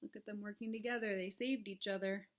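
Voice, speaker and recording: A woman reading a picture book aloud for young children, expressive and dramatic, with chatty asides to her listeners. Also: mid-range voice, fairly quick, noisy background. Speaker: children's read-aloud presenter. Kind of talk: animated storybook read-aloud for young children.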